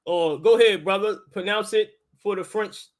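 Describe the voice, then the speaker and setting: A man's voice: high voice, normal speed. A man with a Baltimore accent hosting a casual talk, explaining things in an insistent, colloquial way. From Baltimore, United States. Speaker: host, linguistics teacher. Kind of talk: casual live talk with debate.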